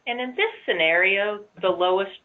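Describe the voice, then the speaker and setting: A woman's voice: medium pitch, moderate pace. A woman co-presenting on a conference call, giving informal explanations. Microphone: conference call line. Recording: muffled narrow-band phone line.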